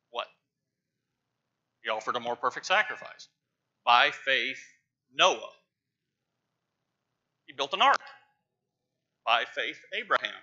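Bright-voiced man preaching a sermon. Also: medium pitch. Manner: emphatic, stresses words with rising loudness. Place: large church sanctuary with pews. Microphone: lapel mic, far camera. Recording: dull church livestream audio.

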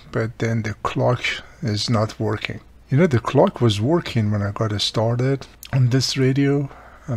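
A man with a husky, gravelly voice, explaining a hands-on repair in a plain-spoken, informal way. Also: mid-range voice. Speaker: host of a radio repair how-to series.

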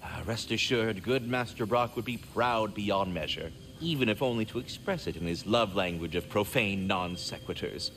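A man's voice: fairly low pitch, moderate pace, few pauses, fairly bright tone, a little hiss or hum, lightly acted. A man voicing a courteous, fretful, erudite magical squirrel in a video game, speaking in formal, wordy, theatrical phrases. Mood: warm, grateful. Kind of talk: video game character acting.

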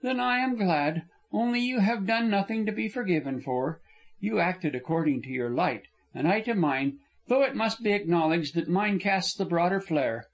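Frank young woman, impassioned and earnest, lifted higher than a man's voice, as a male narrator puts her on. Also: expressive, normal speed. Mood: animated.

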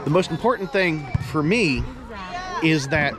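A man's voice: a little high, lively intonation, a little slow, a crowd in the background. Chatty Texan man vlogging outdoors. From Texas. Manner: chatty, folksy, repeats words while thinking. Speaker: vlogger host. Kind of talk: casual selfie vlog. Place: outdoors in a park. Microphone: camera held at arm's length.

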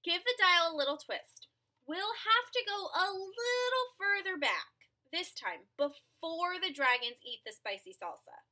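A young adult woman reading a picture book aloud to children in an expressive, dramatic and playful way. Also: extremely high pitch, a little slow. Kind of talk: animated children's read-aloud. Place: small furnished room at home.

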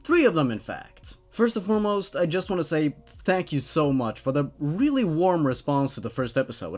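A man in his twenties hosting and reading horror stories expressively, with dramatic delivery and casual, wry asides. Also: on the high side. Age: 20s. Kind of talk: expressive story narration with casual commentary. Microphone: studio condenser microphone on a stand.

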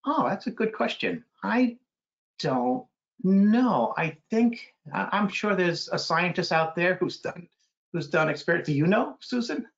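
Higher-pitched American man on muffled video call. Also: animated, measured pace, creaky. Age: middle-aged. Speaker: guest author and illustrator. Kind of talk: casual question-and-answer chat. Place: indoor room on a video call. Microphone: computer video call. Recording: dull, lo-fi video call audio.

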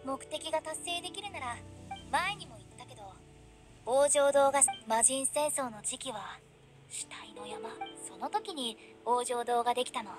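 A high, girlish voice acted by a woman for a video game. She is a confident, playful teenage funeral director with a storyteller's mysterious air. Music plays behind her. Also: moderate pace, close to an everyday voice. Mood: measured, solemn. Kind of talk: anime-style game dialogue.

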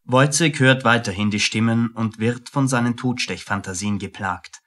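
A man's voice: mid-range voice, moderate pace, fairly bright tone. A man reading scripted narration as the narrator of a radio play.

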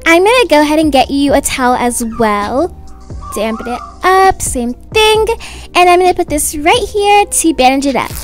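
A young woman acting out a children's roleplay story in a gentle, reassuring, sing-song way. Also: high-pitched, lively intonation, moderate pace, faint music behind. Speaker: video creator voicing characters.

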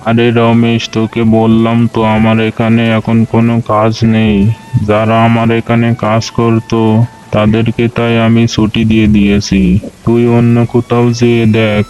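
A man voicing a gruff, condescending village landlord in a cartoon folk tale. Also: mid-range voice, monotone, normal speed, a little mellow, dull audio, natural voice. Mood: dismissive. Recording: music under the voice.

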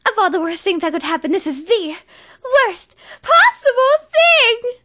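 A woman's voice: very high voice, big pitch swings, measured pace, pushed and bright, heavily acted. A woman voicing a refined, theatrical female cartoon unicorn with a posh, melodramatic manner. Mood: whiny. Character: dramatic refined female unicorn, fashion-loving diva. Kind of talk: cartoon voice acting reel.

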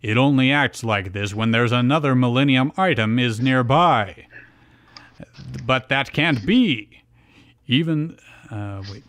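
A man's voice: mid-range voice, fairly bright tone, no echo. A man voicing an earnest, bewildered teenage boy hero from a card-game cartoon. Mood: alarmed, puzzled.

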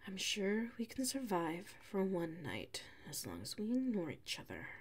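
A woman voicing a prickly, haughty young tsundere classmate with a hidden soft side, in an ASMR audio roleplay. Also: mid-range voice, huge pitch swings, a little hiss or hum. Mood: quiet, resigned. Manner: haughty, huffy, sighing, drawn-out phrasing.

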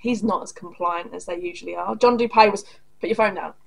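A woman's voice: on the low side, brisk, vocal fry, background noise. A woman in her thirties hosting a reaction video, talking bluntly and angrily with lots of swearing, recorded at a desk in a small home room. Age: 30s. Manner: angry, profane, blunt and sarcastic. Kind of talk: casual reaction commentary.

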